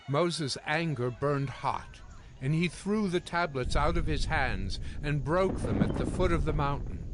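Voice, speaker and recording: A man's voice: a little high, background noise. A man narrating a dramatized scripture audio production from a script. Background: music.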